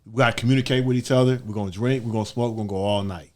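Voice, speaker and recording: A man's voice: medium pitch, fairly even intonation, racing. A man chatting casually in a group, repeating short phrases for emphasis. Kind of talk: casual group chat, anecdotes.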